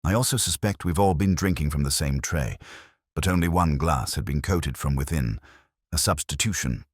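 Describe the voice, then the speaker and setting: A man voicing a sharp, quietly commanding male detective with a precise, probing manner, in an audiobook mystery story. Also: a bass voice, moderate pace, breathy edge, no echo, clean studio sound. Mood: quiet, musing.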